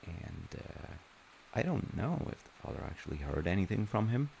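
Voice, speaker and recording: A loving dad, played by a man tells a story in a very low, creaky voice. He rambles through wry, conversational asides in a playful audio roleplay manner. A faint hiss sits behind him. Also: sing-song, moderate pace, a little mellow, heavily acted. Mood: wry, gentle. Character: loving, playful dad telling his child a parable. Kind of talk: audio roleplay, informal bedtime-style storytelling.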